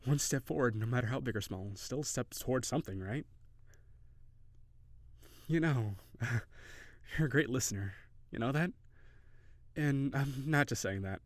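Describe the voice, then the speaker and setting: A man's voice: medium pitch, lively intonation, a little slow, long pauses, no room sound, natural voice. A man playing a shy, cheery kitsune barista, close-up. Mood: warm, encouraging. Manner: hesitant, giggly, encouraging, softly flirtatious. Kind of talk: intimate audio roleplay addressed to the listener.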